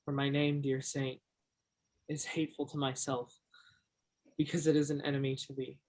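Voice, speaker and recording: A man's voice: mid-range voice, little pitch movement, moderate pace, a little mellow, natural voice. A man acting a shy, naive, earnest young shop worker in a stage play, plainspoken. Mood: romantic.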